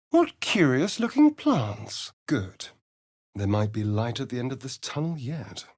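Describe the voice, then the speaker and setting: A man's voice: medium pitch, slightly rough, dry recording, studio quality, exaggerated voice. An actor voicing a sly, vain, sardonic male vampire spawn in a video game, with an arch, theatrical drawl. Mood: arch, teasing.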